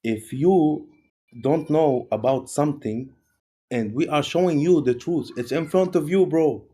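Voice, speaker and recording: A man's voice: on the high side, measured pace, dark tone, husky. A man arguing in a casual online voice-chat debate, insistent and talking over others, calling everyone bro. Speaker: debate participant. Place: voice chat call.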